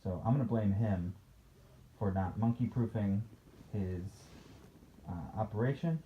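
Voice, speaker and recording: A playful dad reading, muffled noisy recording. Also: male voice, lowish voice, unhurried. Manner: playfully mock-critical, conversational. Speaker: dad reading a picture book to a child. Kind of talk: storybook read-aloud with casual chat. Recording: dull, noisy home recording.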